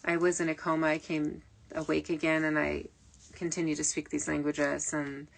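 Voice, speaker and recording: Low, creaky-voiced woman rambling. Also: level tone, moderate pace, poor audio. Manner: meandering, digressive, frequent fillers and restarts. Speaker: spiritual medium. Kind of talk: rambling late-night livestream talk. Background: faint early nineties music.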